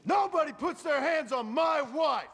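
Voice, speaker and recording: An actor playing a rugged, protective middle-aged rancher in a video game, with a rough American Western drawl and dry, clipped folksy talk. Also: male voice, very high voice, expressive, a little slow, heavily acted. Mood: furious, alarmed. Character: rugged, gruff frontier rancher, protective husband and father. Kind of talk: video game cutscene acting. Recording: game scene with loud ambient noise.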